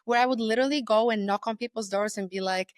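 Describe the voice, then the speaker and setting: Animated young woman from Bulgaria. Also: a little high, quick pace, no echo, clean studio sound. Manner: animated, self-deprecating, laughs at herself. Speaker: guest. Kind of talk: casual interview storytelling.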